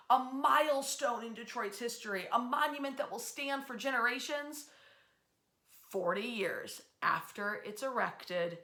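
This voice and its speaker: A woman with a fairly high, very bright voice hosts a history storytelling series. She speaks in a chatty, emphatic way, stretching key words and pausing for dramatic effect as she addresses her online audience.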